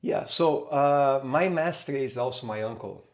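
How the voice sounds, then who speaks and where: Male guest, Brazilian accent, mid-pitched, hesitant and chatty, Portuguese native. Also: unhurried. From Brazil, São Paulo. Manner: hesitant, many fillers and restarts. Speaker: capoeira instructor, podcast guest. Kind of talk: casual interview talk.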